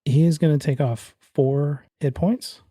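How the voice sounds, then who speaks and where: Husky guy game mastering a horror rpg, mellow and conversational, describes scenes then calls for rolls. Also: a little high, fairly even intonation, unhurried, no room sound, studio quality. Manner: conversational narration mixed with rules calls. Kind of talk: tabletop horror game narration.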